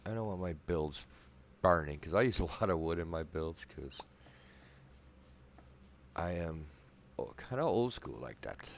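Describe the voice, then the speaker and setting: Soft-spoken deep man with a slight cold. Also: expressive, a little slow, vocal fry, pauses often, slight background noise. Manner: soft-spoken, rambling, self-deprecating. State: slight cold, a bit wheezy. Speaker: gameplay video maker. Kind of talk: casual let's play commentary. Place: apartment room at night.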